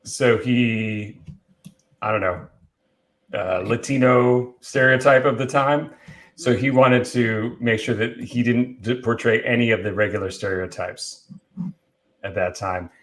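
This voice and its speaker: A man's voice: mid-range voice, steady tone, measured pace, a bit bright. A man chatting casually and enthusiastically as a guest presenter, telling bits of film trivia.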